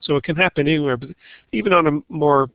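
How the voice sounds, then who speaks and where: A man's voice: fairly high pitch, fast talker, no echo. A man moderating a panel over a web call, his speech full of fillers and self-corrections. The audio is dull and lo-fi, with no room sound at all. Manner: hesitant, many fillers and restarts. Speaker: webinar moderator. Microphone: computer mic on a web call.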